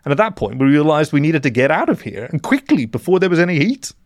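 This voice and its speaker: Man voicing a jittery, high-pitched mole crook. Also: big pitch swings, fast talker, dry recording, clean studio sound. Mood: anxious, confiding. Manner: stammering, flustered, pleading. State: sobbing. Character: nervous, tearful mole drug runner, male.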